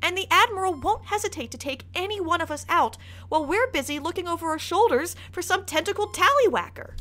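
A woman's voice: extremely high pitch, expressive, brisk, pushed and bright, heavily acted. A woman playing an exasperated, sceptical secret agent in a comedy-adventure podcast, emphatic and sarcastic. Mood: indignant, sceptical.

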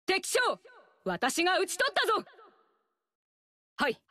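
A proud young warrior woman, commanding and firm, with a high, lively voice. She speaks with slow, measured weight. The studio sound is dry and close. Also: no echo, full character voice. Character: proud young female warrior, a warlord's daughter. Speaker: game character. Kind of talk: game voice lines.

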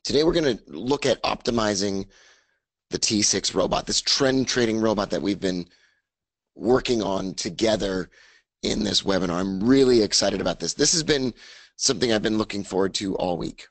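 A man with a raspy voice hosting a casual trading webinar, upbeat as he talks through his charts. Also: fairly low pitch, normal speed, dry recording. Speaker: webinar host. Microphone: studio mic, screen share.

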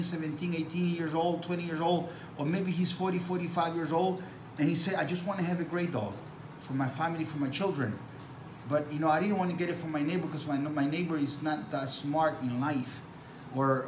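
A man in his forties, a dog breeder speaking English as a second language, talking to camera in an earnest, repetitive, preachy way. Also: a little high. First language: not English. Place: small room indoors. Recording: echoey room with background noise.

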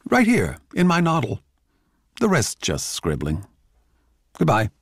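A man playing an excitable, cocky, childlike young composer with a gushing, emphatic way of talking, in a dramatic play reading. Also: medium pitch, unhurried, breathy edge, no room sound, studio quality, heavily acted. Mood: breezy.

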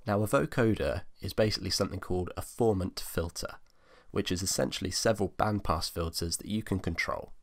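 A man in his twenties with a low-pitched voice. He hosts an instructional screencast, explaining each step in order as a tutor would for beginners. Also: fairly quick. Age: 20s. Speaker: music production tutorial host.

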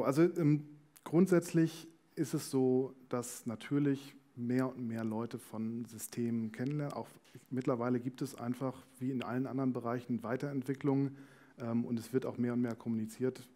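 A man's voice: medium pitch, steady tone, normal speed, mellow. A man, explaining things as a guest in an on-stage interview. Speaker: company managing director, talk guest. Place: indoor small stage before talk-show panel. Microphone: headset.

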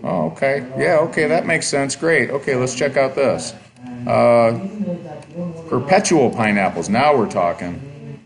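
A man's voice: medium pitch, expressive, unhurried, gravelly. A man giving a recorded college lecture, talking casually and thinking aloud as he clicks through examples on screen.

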